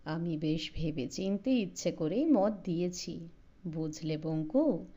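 Woman reading a deliberate, self-important elder brother. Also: on the low side, expressive, warm tone, pitched below the performer's own voice. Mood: self-satisfied conviction. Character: earnest, self-important elder brother lecturing his friends. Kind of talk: storybook character voice.

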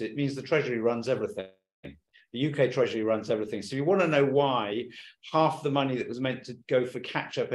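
Plain-spoken man in an echoey room. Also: mid-range voice. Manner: plain-spoken, argues with everyday examples. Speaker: panel speaker. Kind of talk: panel discussion remarks. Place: meeting room in Whitehall.

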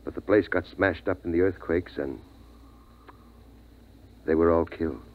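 A man's voice: sits low, a little slow. A man playing a grave, weary middle-aged father, a survivor telling his story solemnly in a 1950s radio drama. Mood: grave, reflective. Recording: thin, phone-like vintage radio recording.

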